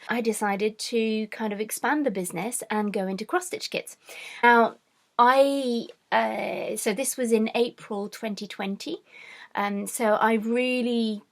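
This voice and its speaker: A middle-aged woman from France, born to British parents, speaking casually and a little haltingly as a craft vlog host. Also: mid-range voice. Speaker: host, cross-stitch designer.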